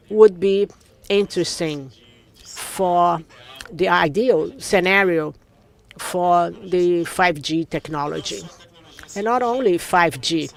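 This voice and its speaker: A man giving a simultaneous interpretation of a conference talk, in a steady, measured way. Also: sits high, vocal fry.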